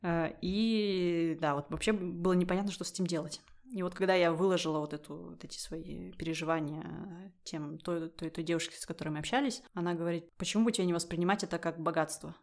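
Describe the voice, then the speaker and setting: A young woman from Russia with a fairly low voice chats in a relaxed, thoughtful way, stopping often to search for words and breaking into light laughter. She speaks as a podcast guest in casual conversation. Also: fast talker, hardly any pauses. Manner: thoughtful, many fillers and laughs.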